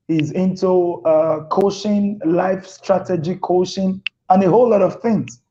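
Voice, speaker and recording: A man hosting a live motivational talk show, speaking slowly and deliberately. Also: high voice, mellow, lo-fi sound. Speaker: host.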